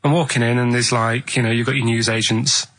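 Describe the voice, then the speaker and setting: A man telling rambling anecdotes on a radio show in a halting, earnest, colloquial way. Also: mid-range voice, level tone, a bit bright, dry recording.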